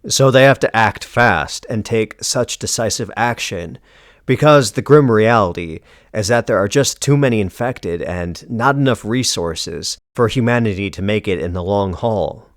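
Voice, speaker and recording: Male narrator, low-pitched voice, grave and deliberate, scripted story recap, very dry close sound. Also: dry recording.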